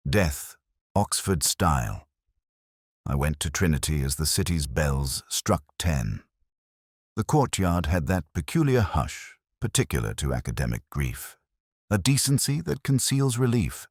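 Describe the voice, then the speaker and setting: A melancholy, erudite police inspector narrating a mystery radio drama. His voice is exceptionally deep, with animated intonation that swings within measured, literary phrasing. The sound is dry, and the manner is brooding. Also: slightly rough, dry recording, full character voice. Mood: brooding, wistful. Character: melancholy, erudite male detective inspector recounting a case. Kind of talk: scripted first-person mystery drama narration.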